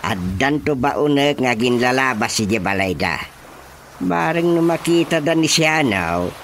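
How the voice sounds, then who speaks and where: A man's voice: mid-range voice, animated, measured pace, fairly bright tone, slight background noise. An actor playing a flustered, easily amused village chairman in a comic radio drama, stammering and exclaiming with an Ilocano accent. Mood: gossipy. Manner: stammering, sputtering, full of exclamations.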